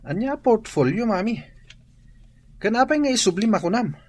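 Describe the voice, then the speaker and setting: Money-minded complainer, Filipino accent, raspy, wide intonation, disappointed, radio drama. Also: female voice, a little high, big pitch swings, moderate pace, darkish tone. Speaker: drama actor. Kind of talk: Ilocano radio drama acting.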